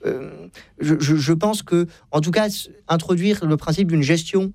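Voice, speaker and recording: A man's voice: a little high, moderate pace, a little mellow, dry recording. A man speaking off the cuff as a radio debate guest, hesitant and often stammering over repeated words.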